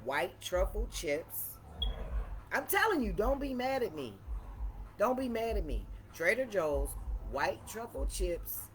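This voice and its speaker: A woman with a deep voice chatting casually and playfully in a wine tasting vlog, repeating phrases for emphasis. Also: very animated, takes it slowly, a little hiss or hum. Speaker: vlogger reviewing wine.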